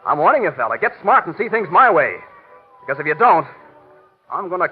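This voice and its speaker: A jealous, sneering tough guy from a vintage radio play. He talks fast with big swings in pitch, on a muffled old recording. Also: very animated, soft background music. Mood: jealous anger. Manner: sneering, slangy wise guy. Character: jealous hot-headed tough-talking man in love. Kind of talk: 1940s radio drama acting.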